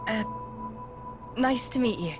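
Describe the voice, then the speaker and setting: Wry teen cat girl, highish voice, casual, loud music in back. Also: slow pace. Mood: wry, relieved. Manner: wry, informal. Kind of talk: video game cutscene acting.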